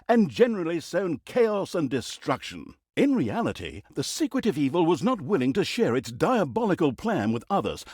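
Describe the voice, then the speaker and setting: Sardonic fantasy game narrator: hugely animated storybook delivery, arch and mock-grand, on the higher side, quick to exasperation. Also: male voice, no room sound, clean studio sound. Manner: dramatic, tongue-in-cheek, arch delivery.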